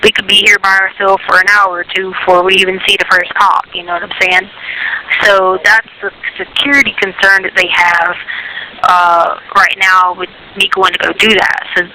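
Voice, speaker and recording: A woman's voice: medium pitch, flat delivery, normal speed, pushed and bright, a little hiss or hum. A woman chatting casually on a prison phone call, explaining things in a rambling way and checking that the listener follows. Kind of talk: casual personal phone chat. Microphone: telephone handset.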